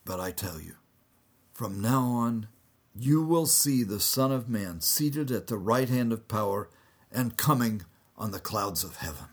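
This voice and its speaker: A man voicing a calm prisoner on trial who speaks low and hushed, close to a whisper. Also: unhurried, husky. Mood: solemn.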